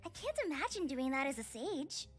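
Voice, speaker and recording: A woman voicing a tiny, excitable, childlike fairy companion in a video game, squeaky, with game music behind. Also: moderate pace. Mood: eager, amazed.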